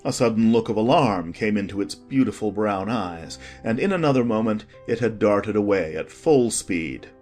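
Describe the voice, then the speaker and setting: A narrator reading a children's storybook in an expressive, scripted storytelling manner. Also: medium pitch, moderate pace, hardly any pauses, soft background music. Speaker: audiobook narrator.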